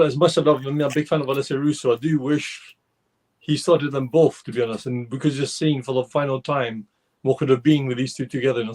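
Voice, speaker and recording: A man on a fan show, talking heatedly and rambling with many restarts, his voice slightly hoarse. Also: medium pitch, darkish tone. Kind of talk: casual football fan discussion.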